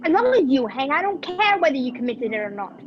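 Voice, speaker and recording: A young woman acting a sharp-tongued, indignant captive companion in an amateur radio play. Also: sits high, very animated, fast talker. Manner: tart, sarcastic. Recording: dull lo-fi video call audio. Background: faint music.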